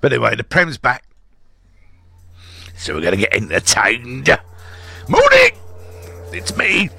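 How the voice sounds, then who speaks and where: A hoarse man with a slight cold hosting a local radio sports show, chatting casually and rambling with lots of filler sounds. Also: mid-range voice, huge pitch swings, a little slow, sharp tone, no room sound, soft background music. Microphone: studio microphone.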